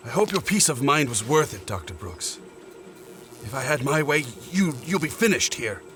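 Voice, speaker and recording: An actor playing an outraged, officious institute boss in an audio drama, scolding in a pompous manner. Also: male voice, medium pitch, sing-song, normal speed, noisy background, lightly acted. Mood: scornful.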